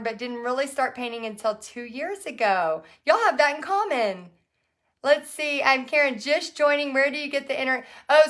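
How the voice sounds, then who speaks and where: A middle-aged woman with a hoarse, raspy voice, chatting casually on a live stream, folksy and full of fillers. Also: on the high side, sharp tone. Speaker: painting instructor hosting a live stream. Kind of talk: casual live-stream chat, reading comments.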